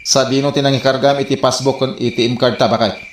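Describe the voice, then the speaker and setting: A woman's voice: rumbling low, steady tone, quick pace, slight background noise. A woman playing a worried, nagging yet caring husband in a radio comedy drama, with an Ilocano accent and exasperated questioning. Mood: suspicious, exasperated. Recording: echoey, faint music behind.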